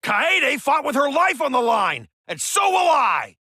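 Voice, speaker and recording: A man's voice: very high-pitched, big pitch swings, measured pace, no echo, clean studio sound, full character voice. A male actor playing a hot-blooded, stubbornly loyal young man in a dramatic, brash video game dub. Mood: angry, determined. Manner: forceful, emotional, brash.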